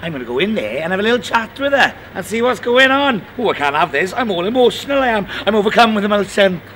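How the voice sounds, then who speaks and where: A man's voice: sits high, big pitch swings, fast talker, fairly bright tone, a little hiss or hum. A man playing an indignant, flamboyant middle-aged pantomime dame, theatrical, gossipy and overemotional. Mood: overemotional, aggrieved. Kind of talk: pantomime comedy acting. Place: outdoors on a street outside a shop. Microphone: handheld interview microphone. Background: music.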